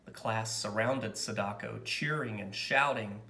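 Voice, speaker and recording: A man narrating a picture book aloud as a storyteller, with expressive, dramatic and deliberate phrasing. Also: fairly low pitch, echoey room.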